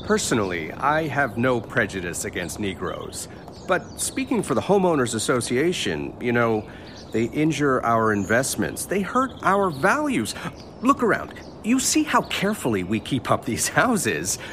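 Radio drama: prim, defensive homeowners' spokesman, animated intonation, evasive delivery. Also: medium pitch, a little slow, a little hiss or hum, exaggerated voice. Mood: smug, defensive. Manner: prim, hedging, self-justifying. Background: music.

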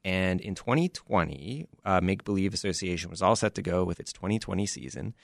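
Low-voiced man, halting interview guest. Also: expressive, creaky, dry recording, clean studio sound. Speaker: interview guest, audio drama producer. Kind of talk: casual interview talk. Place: dry radio studio.

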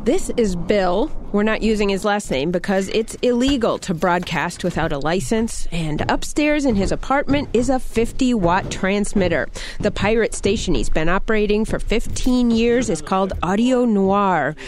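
A woman's voice: medium pitch, animated, moderate pace, a little mellow, a little hiss or hum. A woman with an American accent narrating a scripted radio feature as a reporter.

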